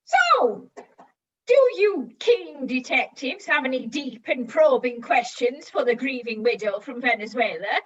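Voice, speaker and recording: Actress as a dramatic mystery hostess, high lively voice, slow, distant and muffled. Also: female voice, slight background noise. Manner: dramatic, grandly announcing. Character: theatrical mystery-party hostess. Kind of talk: stage comedy acting.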